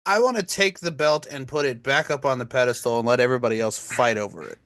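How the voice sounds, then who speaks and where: Joking crude podcast host, dry sound. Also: male voice, medium pitch, fast talker, a bit bright, dry recording. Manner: joking, crude, laughs often. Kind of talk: casual chat.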